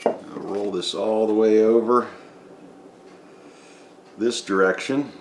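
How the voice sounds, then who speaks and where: A man's voice: fairly low pitch, fairly even intonation, slow, a little hiss or hum. A man giving an unscripted, methodical step-by-step narration of a hands-on repair demonstration. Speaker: DIY repair presenter. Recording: echoey room.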